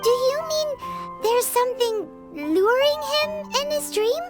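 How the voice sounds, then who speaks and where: A woman's voice: very high-pitched, expressive, a little slow, music in the background. A woman voicing a tiny, chatty female fairy companion who speaks of herself in the third person. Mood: excitable. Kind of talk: video game voice acting.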